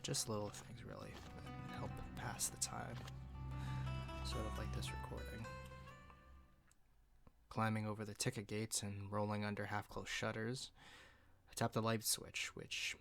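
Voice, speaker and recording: Guy acting as weary young male survivor doing an audio log, lowish gravelly voice, slow n halting, music behind. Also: flat delivery. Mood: reflective, weary. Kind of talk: dramatic first-person audio log narration.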